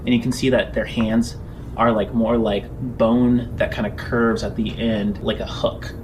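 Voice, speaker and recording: A man narrating a tabletop space game as game master, improvising scene descriptions in halting, informal phrases. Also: medium pitch, little pitch movement, a little mellow, slight background noise, low-quality recording. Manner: halting descriptions padded with "like" and "kind of".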